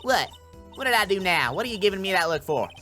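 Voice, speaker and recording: Man as folksy Southern woman, game witness, indignant, stammering, bright forceful tone, lively pitch, music behind. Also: fast, heavily acted.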